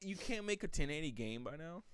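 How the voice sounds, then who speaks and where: A man's voice: fairly high pitch, lively intonation, brisk, clear, resonant tone, no echo. A young man co-hosting a casual gaming news show from a home studio, throwing in joking and sarcastic asides. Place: small home studio room. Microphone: studio mic on a boom arm.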